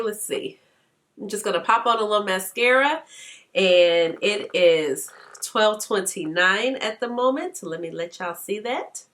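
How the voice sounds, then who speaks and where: A woman's voice: mid-range voice, a bit bright. Stuffy-nosed woman chatting through makeup. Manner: chatty, laughs often, says "okay?". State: congested, stuffy nose. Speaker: beauty vlogger. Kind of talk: casual makeup tutorial chat.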